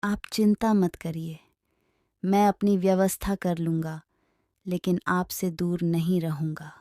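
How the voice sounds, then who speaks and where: A woman's voice: on the low side, level tone, slow pace, dark tone, no echo, clean studio sound. A woman voicing a devoted young male parrot in a children's story, earnest and respectful. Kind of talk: acted children's moral story.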